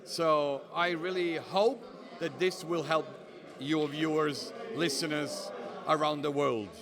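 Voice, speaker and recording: A middle-aged man with an Italian accent speaks as a pastor and author, steady and affirming. His voice sits fairly high. A crowd murmurs clearly behind him. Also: slow pace. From Italy. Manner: steady and affirming, frequent short agreements. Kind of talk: interview guest, earnest testimony.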